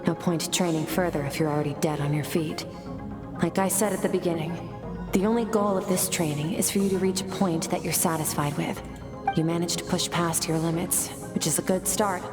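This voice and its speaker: A cool, composed swordswoman mentor voiced by an actress in a video game. Her voice is low and matter-of-fact, set in a long echo over clear music. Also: fairly even intonation, fairly quick, close to an everyday voice. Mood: calm, reassuring. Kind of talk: scripted video game dialogue. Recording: echoey game audio with music.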